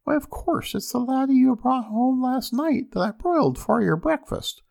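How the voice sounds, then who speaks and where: A man voicing an ogre's fussy, doting, scolding wife in a storybook tale, in a chattering falsetto. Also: animated, normal speed, gravelly, a little mellow, heavily acted. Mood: fussy, chiding. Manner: high shrill falsetto, chattering.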